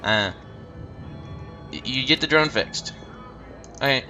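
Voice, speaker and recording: A man's voice: medium pitch, lively intonation, slow pace, bright, forceful tone, lo-fi sound. A man with a stuffed-up nose hosting a let's play, talking in a rambling, hesitant casual way. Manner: rambling, hesitant, many ums. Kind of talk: casual game commentary. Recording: game music behind.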